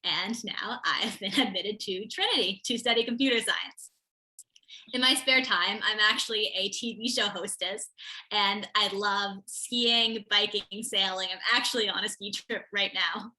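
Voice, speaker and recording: A woman's voice: mid-range voice, measured pace, pushed and bright, poor audio. A teenage girl answering interview questions, eager and articulate. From America, Connecticut. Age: teens. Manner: eager, articulate, laughs while telling stories. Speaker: interview guest, student.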